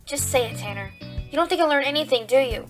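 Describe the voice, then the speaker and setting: A woman's voice: sits high, lively intonation, quick pace, recorded from a distance. A woman voicing an earnest young female rabbit police officer, perky and easily exasperated, in an audio drama. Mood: sullen. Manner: perky, to the point. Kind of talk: fan audio drama acting. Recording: very echoey room, faint music.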